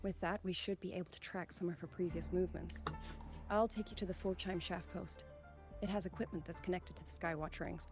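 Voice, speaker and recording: An actress playing an earnest young woman soldier in a video game, speaking gravely and formally. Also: lowish voice, steady tone, lightly acted. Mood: brisk, resolute. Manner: formal, grave, measured delivery. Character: earnest young woman soldier, last survivor of her squad. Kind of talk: dramatic video game voice acting. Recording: game music behind the voice.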